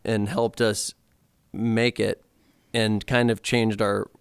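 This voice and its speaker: Laid-back man with a gravelly voice. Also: on the low side, level tone, slow, dry recording, studio quality. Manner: loose, filler-heavy talk with lots of "like". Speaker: musician guest. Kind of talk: casual interview chat. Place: radio studio.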